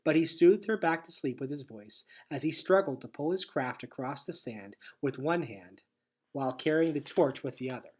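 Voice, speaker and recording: A man reading a children's adventure novel aloud in an expressive, dramatic storytelling style. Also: medium pitch, normal speed, slightly rough.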